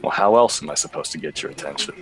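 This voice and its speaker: An actor playing a bitter, boozy, clueless android-hunting detective in a noir radio play, with a hard-boiled, melodramatic deadpan. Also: male voice, very deep voice, quick pace. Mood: cocky.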